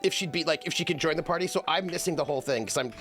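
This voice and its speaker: Fussy, high, bright-voiced man player. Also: rapid-fire, faint music behind. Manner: careful, pedantic, qualifies his points. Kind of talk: casual play at a tabletop game.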